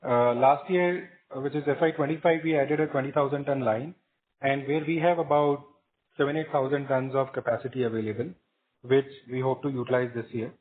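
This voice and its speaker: A man with an Indian accent answering analysts as a company executive on a business call, measured and matter-of-fact in explaining. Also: medium pitch, steady tone. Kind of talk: spontaneous business Q&A on an earnings call. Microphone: conference call line. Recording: muffled narrowband phone conference line.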